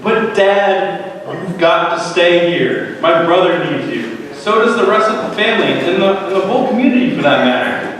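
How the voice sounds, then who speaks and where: A man's voice: high voice, expressive, moderate pace, crowd noise. A man playing a brash, selfish young prodigal son in a dramatic reading of a parable, theatrical and emphatic. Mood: alarmed, petulant. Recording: roomy echoing hall, distant microphone.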